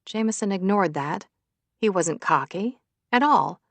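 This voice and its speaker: A woman's voice: mid-range voice, lively intonation, a little slow, fairly bright tone, dry recording, dull audio. A woman reading a romance novel aloud as a scripted audiobook narrator.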